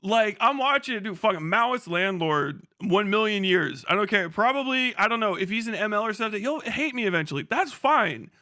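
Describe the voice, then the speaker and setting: Bright-voiced, profane male streamer. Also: high-pitched, lively intonation, fairly quick, few pauses, no echo, studio quality. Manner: profane, incredulous, laughs often. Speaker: livestreamer. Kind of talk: casual livestream commentary rant.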